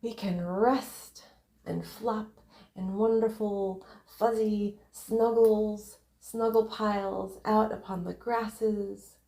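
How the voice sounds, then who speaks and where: Female guided-meditation narrator: soft-edged mellow tone, unhurried short phrases, roomy echoing recording. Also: mid-range voice, fairly even intonation. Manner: unhurried, short separated phrases, breathy emphasis. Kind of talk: guided visualization, meditative first-person narration.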